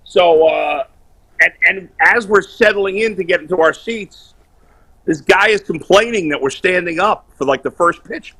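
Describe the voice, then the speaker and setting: A man calling in to a sports talk show, loud, blunt and opinionated, bantering casually over a video call. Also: sits high, normal speed, breathy edge, poor audio. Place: remote video call while on vacation.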